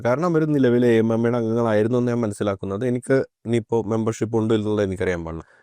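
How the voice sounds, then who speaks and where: Male reaction host, critical commentator, rapid delivery, dry sound. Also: medium pitch, dark tone, no echo. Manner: critical, argumentative. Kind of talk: spoken commentary.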